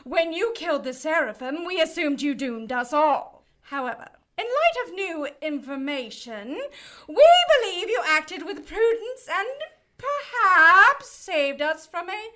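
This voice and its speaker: A haughty female cherub passes judgment in an audio drama. The voice is high and bright, swooping theatrically through slow, formal pronouncements with cruel relish. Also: lively intonation, exaggerated voice. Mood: menacing, condescending. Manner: imperious, formal, theatrical, gloating.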